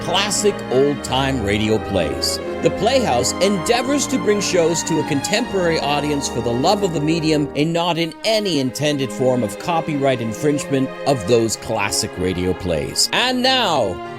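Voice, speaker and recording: A man's voice: fairly high pitch, sing-song, normal speed, few pauses. A man announcing a show from a script in a showy, stage-style delivery. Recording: loud music and crowd behind. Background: loud music and crowd.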